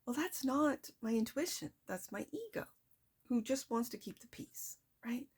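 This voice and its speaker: A soft-spoken woman hosting a casual tarot reading, talking straight to her viewers in a chatty, reflective way. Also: fairly high pitch, lively intonation, measured pace, breathy edge. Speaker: tarot reader.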